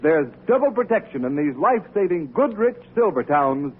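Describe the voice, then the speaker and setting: A man's voice: high-pitched, very animated, normal speed, a little hiss or hum. A man announcing in an emphatic, salesmanlike 1930s radio advertising style. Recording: tinny, muffled old radio recording.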